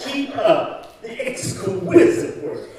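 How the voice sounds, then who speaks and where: A man playing an effusive, grandiose theater director in a live radio comedy, halting as he gushes. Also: very high-pitched, big pitch swings, a crowd in the background, exaggerated voice. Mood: gushing. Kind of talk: old-time radio comedy acting before a live audience. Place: community center stage, live performance. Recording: noisy, very echoey hall, far from mic.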